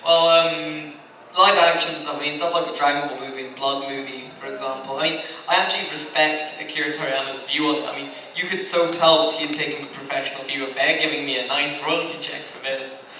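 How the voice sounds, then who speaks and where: A man's voice: fairly high pitch, fairly even intonation, brisk, pushed and bright. A man speaking on a convention panel, chatty and rambling, with frequent asides and laughs. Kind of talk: panel discussion. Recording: echoey hall, distant mic, background noise.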